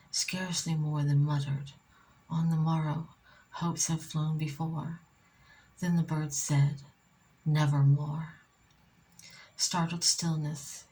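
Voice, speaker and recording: A soft-spoken woman reading poetry in ASMR style, often whispering, with slow, deliberate phrasing and pauses between short phrases. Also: low-pitched, slight background noise, poor audio. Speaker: poet reading own work.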